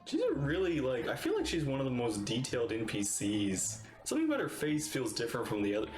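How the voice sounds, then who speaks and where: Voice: mid-range voice, wildly swooping pitch, fast, darkish tone, soft background music. Chatty streamer in a roomy room. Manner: reacts with small exclamations, loose chatty remarks full of "like". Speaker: streamer reacting to a game. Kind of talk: casual reaction commentary.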